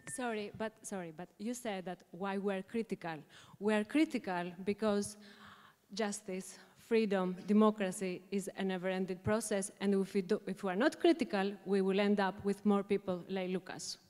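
A woman's voice: mid-range voice, steady tone, normal speed, darkish tone. A woman states her view firmly and earnestly in a public discussion. Her voice sits in a middle register, and a long hall reverb carries it.

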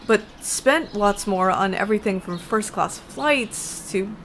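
An actress playing a worried, apologetic young wife in a comic dub, earnest and hesitant. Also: female voice, mid-range voice, unhurried, a bit bright, slight background noise, natural voice. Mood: ashamed.

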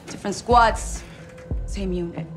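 An actress in her thirties with a Mexican accent plays a cold, proud ex-soldier turned cartel boss in a video game, deliberate and menacing. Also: mid-range voice, over music, natural voice. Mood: cool, taunting. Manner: deliberate, menacing, switches between English and Spanish. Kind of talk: cinematic video game acting. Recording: dramatic score underneath.

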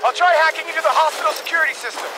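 High-pitched, wry male soldier. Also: rapid-fire, very noisy. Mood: urgent. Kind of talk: video game action dialogue.

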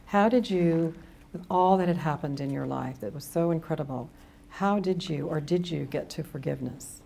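A woman interviewer with a lowish, gravelly voice and a dark, mellow tone asks questions on a studio set. Between her questions she offers short affirming words. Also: moderate pace. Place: studio set, seated in an armchair.

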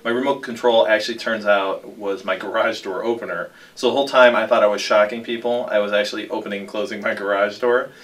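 A man's voice: fairly low pitch, fairly even intonation, fast talker, hardly any pauses, fairly bright tone, distant mic. A man recounting things in a casual, matter-of-fact, conversational way.

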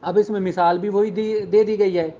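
Pakistani guy teaching a class, pretty high voice, explains stuff informally, kinda muffled lo-fi sound. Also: steady tone, moderate pace, mellow. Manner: explanatory, mixing in English legal terms. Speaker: law teacher. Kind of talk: informal lecture explaining statute.

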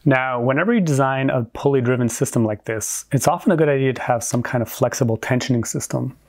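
A man in his forties hosting a hobby video, giving a scripted, clearly structured explanation in an upbeat way. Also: medium pitch, fast talker. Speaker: host of a brick-building channel.

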